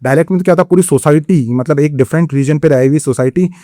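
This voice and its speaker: A man with an Indian accent lectures like a coaching-class teacher, explaining step by step and pausing to check understanding. His voice is dark and mellow, recorded close and dry with no room sound. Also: a little high, no room sound. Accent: Indian English. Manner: explanatory, repeats "theek hai" to check understanding. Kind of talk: exam-prep lecture.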